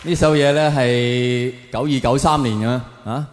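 A man performs stand-up in colloquial Hong Kong Cantonese, tossing tag questions at his audience. His mid-pitched voice rings through a large hall with heavy echo and a long tail of reverb. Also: fairly even intonation, unhurried, a faint crowd. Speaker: stand-up comedian on stage. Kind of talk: stand-up comedy monologue to a live audience.

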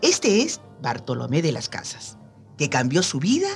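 A woman's voice: low voice, huge pitch swings, normal speed, faint music behind. A woman co-hosting a radio programme, expressively addressing listeners in a scripted conversational style. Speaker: radio co-host.

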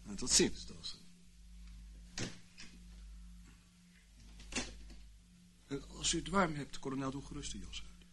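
Casting: male actor for a fussy, mock-courteous official, mid-pitched and animated, old radio drama with clear noise. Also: a little fast, close to an everyday voice. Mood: mildly reproving. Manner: hesitant, repeats words, mock-courteous. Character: genial, dithering but quietly menacing male civil servant. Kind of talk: Dutch radio play acting. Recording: noisy old radio play recording, clicks.

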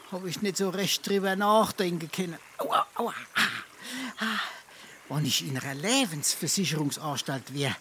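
A battered, boozy scrounger in a stage comedy, whining and boasting in halting Darmstadt Hessian. His voice is mid-pitched, and there is faint noise behind him. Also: a bit bright. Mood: sore, groaning. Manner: halting, groaning, self-important. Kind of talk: dialect stage comedy monologue.

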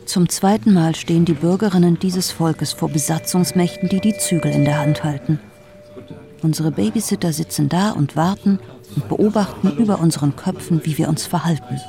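An actor playing a sardonic, challenging political orator with a rhetorical, provocative delivery. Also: male voice, on the high side, normal speed, vocal fry, over music. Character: sardonic, challenging orator on democracy under occupation. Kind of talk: dramatized political speech.

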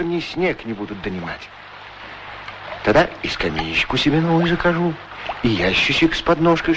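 A man's voice: medium pitch, close to an everyday voice. Actor as wistful, lilting middle-aged shoeshine man. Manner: ruminative, humble, folksy, courteous. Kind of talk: radio play acting, musing monologue and dialogue. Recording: radio drama recording with steady noise.

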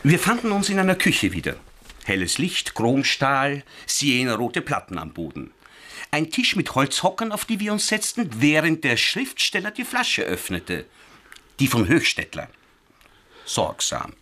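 An actor playing a wry crime-tale narrator in a radio drama, deliberate and relishing each short phrase. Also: male voice, on the high side, wildly swooping pitch, bright, forceful tone, full character voice. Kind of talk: scripted first-person radio drama narration.

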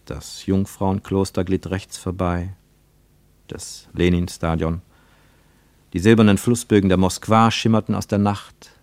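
An actor narrating a literary radio play as a grave, reflective storyteller in a measured manner. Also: male voice, sits low, flat delivery, darkish tone, dry recording. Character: grave, reflective male storyteller of a travel tale.

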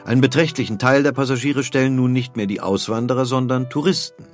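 A man's voice: mid-range voice, fast talker, soft background music. A man reading scripted narration for an audio history documentary.

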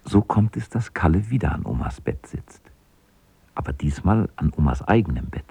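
A man narrating a radio play as a calm storyteller in a scripted style. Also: extremely low pitch, moderate pace, vocal fry, slightly rough, no echo, heavily acted.

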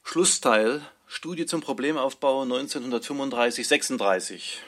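Mid-pitched man announcing headings formally. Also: moderate pace. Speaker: announcer of chapter titles and drafts.

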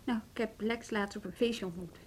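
An actress playing a composed, self-possessed woman in a naturalistic radio drama, talking calmly and conversationally. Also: mid-range voice, fairly quick, breathy edge, lightly acted. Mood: guarded.

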